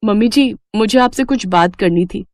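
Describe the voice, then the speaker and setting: A woman's voice: medium pitch, normal speed, darkish tone, close to an everyday voice. A woman voicing a dutiful young working daughter-in-law, respectful and earnest, in a family moral story.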